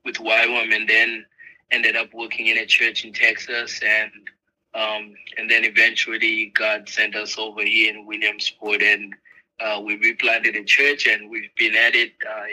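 A man from Zimbabwe joins by phone-style call-in audio. His voice is bright and sharp, his pitch stays flat, and he speaks haltingly with fillers. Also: poor audio. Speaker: pastor. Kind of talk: casual interview conversation. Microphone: calling in remotely.

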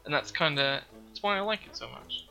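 A man's voice: on the high side, lively intonation, fairly quick, gravelly, pushed and bright, faint music behind. A young man from northeast England hosting a casual podcast chat, rambling with lots of ums and restarts. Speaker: podcast host.

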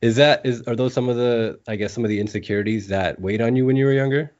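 Male podcast interviewer, brisk delivery, conversational, dull lo-fi call audio. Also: mid-range voice, a little mellow. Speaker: podcast host. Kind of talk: conversational, prepared interview questioning. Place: remote call.

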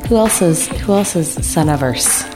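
Lonely, apologetic vampire played by a woman, American, low register, halting earnest delivery, improv radio play over music. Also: lively intonation, poor audio. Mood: wistful. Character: lonely, clingy, apologetic sun-shunning vampire.

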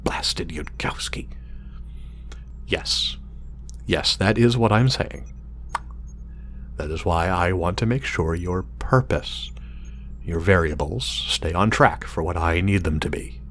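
Obsessive deep-voiced scientist. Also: male voice, slow, long pauses, a bit bright, slight background noise. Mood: wary, stern. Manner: muttering, self-reassuring, hesitant, swearing. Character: obsessive, irritable male scientist building a drone servant. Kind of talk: audio drama acting.

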